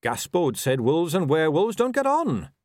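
A man voicing an earnest, patient man in an audiobook, mild and careful, quietly questioning. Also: medium pitch, very animated, unhurried, no echo, clean studio sound, pitched above the performer's own voice. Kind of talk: audiobook character voice.

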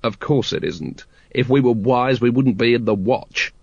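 A man's voice: mid-range voice, normal speed, gravelly, darkish tone, husky, no room sound, muffled recording. A man voicing an impatient, weary watch captain in an audiobook, terse and dry.

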